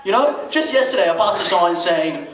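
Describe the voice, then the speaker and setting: A man's voice: high voice, animated, normal speed, a bit bright. A man from Dubai performing a conversational stand-up comedy routine, peppered with rhetorical questions and swearing. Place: comedy club stage. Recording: roomy, distant mic, noisy club recording. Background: audience laughter, background noise.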